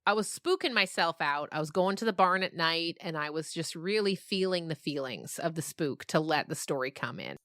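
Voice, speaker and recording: A woman's voice: low-pitched, normal speed, hardly any pauses, fairly bright tone, no echo, studio quality. A woman novelist being interviewed, telling stories conversationally in an emphatic way and often checking in with the listener. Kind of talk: animated conversational storytelling.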